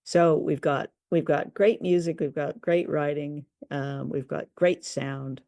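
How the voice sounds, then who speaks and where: A woman hosting a conversational commentary show, talking in a halting, appreciative way. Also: deep voice, level tone, creaky, warm tone, dry recording, studio quality. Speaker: host and commentator.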